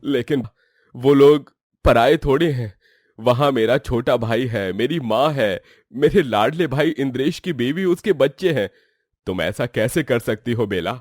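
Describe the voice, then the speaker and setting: A man's voice: medium pitch, lively intonation, a little slow, a little mellow, no room sound, studio quality. A man playing an earnest family man who protests and reproaches in a melodramatic moral-story drama. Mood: pleading. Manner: protesting, indignant.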